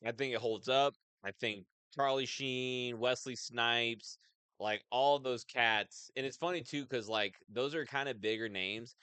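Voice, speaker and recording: A man with a bright, very clear voice chats casually as a podcast co-host. He is opinionated and slangy, and the recording is dry and close. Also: medium pitch, measured pace, dry recording. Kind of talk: casual chat ranking movies.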